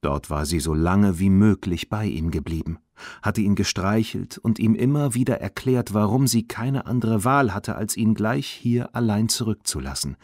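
A man narrating a children's audiobook in an expressive storytelling manner. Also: low-pitched, no room sound, studio quality.

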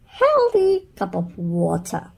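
A woman voicing a bossy, stern, health-minded mother elephant in a storybook, brisk and emphatic. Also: on the low side, wildly swooping pitch, gravelly, darkish tone, exaggerated voice.

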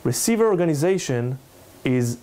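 A man in his thirties with an Israeli accent, lecturing in halting but emphatic non-native English. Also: fairly high pitch, sing-song, mellow. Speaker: lecturer, NGO researcher. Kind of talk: public lecture with slides. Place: indoor salon room, speaking to a small audience.

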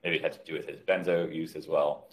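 A middle-aged man, a physician, presenting a clinical case on a video call in a measured, slightly hesitant way. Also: a bass voice, level tone. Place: indoor room on a video call.

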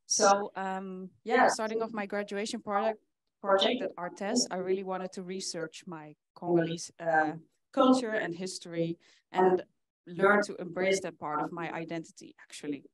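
A young woman from the Netherlands giving a conference talk in an earnest way, often pausing with fillers. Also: medium pitch, fairly even intonation, a crowd in the background, poor audio. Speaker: conference speaker. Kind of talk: presentation talk. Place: conference hall with audience.

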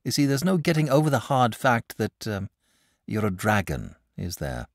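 A man's voice: mid-range voice, animated, moderate pace, gravelly, no room sound, clean studio sound, pitched above the performer's own voice. A man voicing an earnest, sensible young boy who talks in a fretful, lecturing way.

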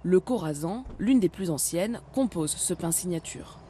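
A woman's voice: on the low side, lively intonation, unhurried, dark tone, slight background noise. A woman, presenting a regional TV magazine as a reporter.

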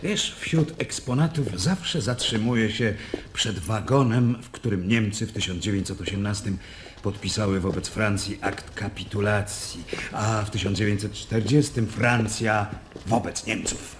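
An actor playing an outspoken, talkative German officer in a Polish radio drama, with a declamatory yet conversational delivery. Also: male voice, fairly low pitch, measured pace, background noise, natural voice. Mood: musing, outspoken.

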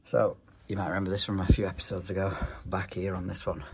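A man with a low, deep voice talks through a plumbing job as he does it, matter-of-fact and practical. He records on a phone up close, with tool clicks and background noise around him. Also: level tone, fast, creaky. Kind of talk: working vlog narration. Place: customer's home and inside his van.

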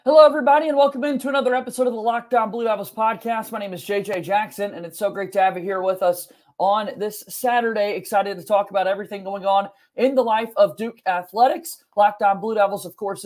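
High-pitched young man hosting a sports podcast. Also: darkish tone. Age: young adult. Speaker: podcast host. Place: small home room, indoors.

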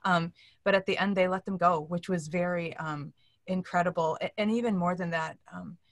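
Middle aged woman telling stories on an interview, earnest, slightly low voice, dry sound. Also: steady tone, normal speed, dry recording. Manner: earnest, acts out quoted speech while telling stories. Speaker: interview guest. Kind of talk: animated storytelling in a casual interview. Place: indoor room, seated on a couch on a video call.

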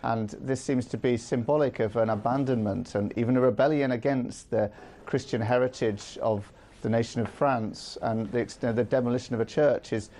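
A middle-aged man speaking as a guest in a live news interview, measured and earnest, with frequent ums. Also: medium pitch, fairly even intonation, mellow. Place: studio with city view.

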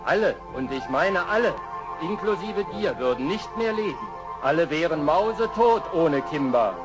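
An emphatic animal henchman, played by a man with a slightly high voice. It is a vintage children's radio play with music underneath. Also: lively intonation, moderate pace, lightly acted. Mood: insistent. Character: animal henchman reasoning emphatically with his boss.

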